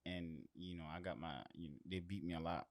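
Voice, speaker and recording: Low-voiced man in casual chat. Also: fairly even intonation, a little fast. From Suriname or abroad, later moved away. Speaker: podcast guest.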